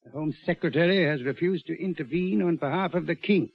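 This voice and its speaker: An actor playing a big, compassionate, persuasive gentleman in 1940s radio acting style. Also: fairly high pitch, brisk, vocal fry. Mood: somber. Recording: dull vintage radio recording.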